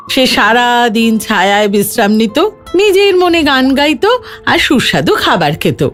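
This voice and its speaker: A woman reading a scripted children's story as narrator, with an expressive storytelling delivery. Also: fairly high pitch.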